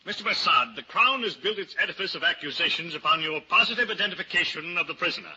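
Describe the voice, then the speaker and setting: High, piercing, bombastic barrister. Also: male voice, lively intonation, quick pace, sharp tone, full character voice. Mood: probing, formal. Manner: oratorical, declamatory, pompous. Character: bombastic, cunning male defence barrister. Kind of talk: vintage radio drama acting. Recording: dull, noisy old-time radio recording.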